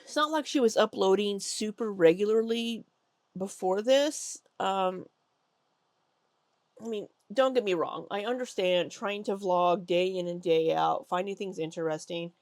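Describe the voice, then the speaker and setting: A woman's voice: mid-range voice, a little slow, a little mellow, no echo. Congested woman giving critical commentary. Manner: chatty, critical, many ums. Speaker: commentary YouTuber. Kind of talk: reaction commentary over clips.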